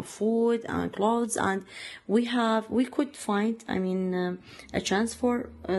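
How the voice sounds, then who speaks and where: Slow, halting woman from Iraq. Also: mid-range voice, a little mellow. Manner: halting, many fillers and restarts, second-language phrasing. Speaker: interviewee. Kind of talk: personal story, casual.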